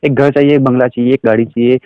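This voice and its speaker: A man with an Indian accent giving an explanatory sales pitch over a recorded phone call, asking questions and mixing in English phrases. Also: little pitch movement, fast, smooth, dry recording. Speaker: direct selling recruiter.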